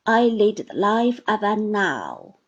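A woman voicing a shabby, surly middle-aged man who talks in sullen, grudging demands, in a breathy voice. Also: mid-range voice, very animated, slow, lo-fi sound, full character voice.

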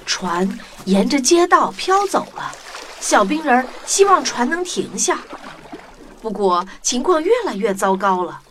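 Animated, high-voiced woman telling children's stories. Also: unhurried, a little hiss or hum. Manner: addresses children directly, animated. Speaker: children's story host and narrator. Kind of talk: expressive scripted storytelling for children.

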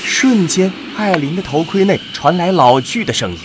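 A man reading scripted narration for a science fiction audio drama in a deliberate, dramatic way. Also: sits high, expressive, noisy background.

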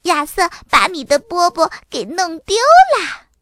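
A woman voicing a cheeky, tattling little girl in a children's storybook, with a teasing, drawn-out delivery. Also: very high voice, animated, pushed and bright, dry recording, clean studio sound, pitched above the performer's own voice, heavily acted. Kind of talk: children's storybook character acting.

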